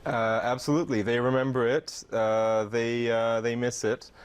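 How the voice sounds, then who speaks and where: A middle-aged man who grew up in Israel, a theater performer answering interview questions, pausing often with fillers. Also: mid-range voice, level tone, clean tone. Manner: warm, hesitant with many fillers. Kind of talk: TV interview guest.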